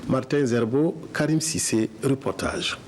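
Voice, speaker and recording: A man's voice: medium pitch, a little slow, darkish tone, slight background noise. Male news anchor. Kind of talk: scripted news presenting.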